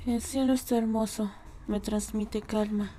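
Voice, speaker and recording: A woman's voice: medium pitch, steady tone, a little slow, warm tone, slight background noise, lightly acted. A woman with a Latin American accent voicing a vengeful, brooding young cartoon pig in a fan dub, hesitant and solemn. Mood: somber, reflective. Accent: Latin American Spanish.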